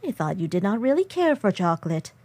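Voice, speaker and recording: A woman playing a gentle, motherly monster. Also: mid-range voice, very animated, brisk, no echo. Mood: caring. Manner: soft, formal, careful speech without contractions. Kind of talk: fan comic dub.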